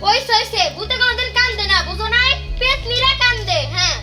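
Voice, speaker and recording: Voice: very high voice, quick pace. An actor voicing a sceptical, argumentative young person with an exasperated manner in a cartoon. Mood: incredulous. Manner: argumentative, incredulous. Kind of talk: cartoon voice acting. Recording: loud music under a distant-sounding voice.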